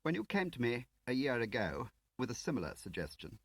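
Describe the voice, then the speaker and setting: A man's voice: medium pitch, big pitch swings, brisk, slightly rough, no echo, voice pushed up. A man voicing a haughty, pompous senior government official, in an audiobook. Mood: stiffly apologetic. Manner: pompous, drawling emphasis. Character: haughty, fussy senior government official, upper-class Englishman.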